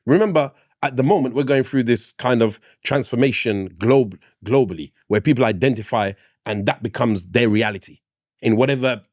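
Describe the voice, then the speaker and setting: A man's voice: moderate pace, dry recording, clean studio sound. A man on a podcast panel speaking expressively, acting out quoted voices as he argues his point. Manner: expressive, acts out quoted voices with big pitch swings. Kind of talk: casual panel discussion.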